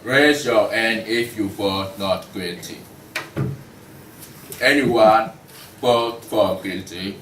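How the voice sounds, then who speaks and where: A young man in a student table read playing a stern, businesslike pig foreman of a jury, deliberate and firm. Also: lowish voice, fairly bright tone. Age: young adult. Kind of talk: student table read of a comic courtroom play. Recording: distant, echoey room with faint noise.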